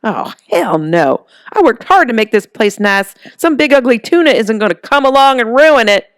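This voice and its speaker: A woman's voice: medium pitch, big pitch swings, normal speed, dry recording, studio quality, pitched above the performer's own voice. A woman voicing Holly, a brassy, flirty, tough woman who loves pink, with a sassy drawl. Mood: indignant. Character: brassy flirty middle-aged woman, pink-loving trailer owner, tough.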